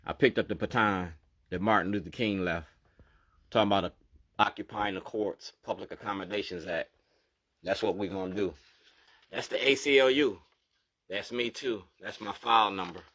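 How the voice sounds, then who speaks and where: A man with a fairly low voice talks straight to the viewer in a plain monologue. He is insistent and keeps checking in with "you feel me?" as he presses each point. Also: normal speed, pauses often, a bit bright. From Baltimore, USA. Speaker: activist. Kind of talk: direct-to-camera monologue going through documents.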